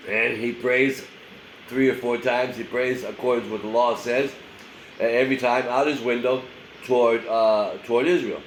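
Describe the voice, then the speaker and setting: A man's voice: mid-range voice, steady tone, normal speed, roomy sound, slight background noise, rough recording. A male pastor giving a short, casual daily sermon, sounding a little nasal from a head cold and talking conversationally with many fillers.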